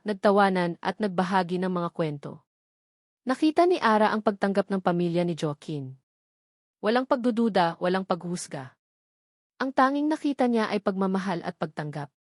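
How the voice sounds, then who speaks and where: A Filipino woman narrates a scripted romance story. Her voice sits at an average pitch for a woman. The recording is dry, with no room around the voice. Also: no echo.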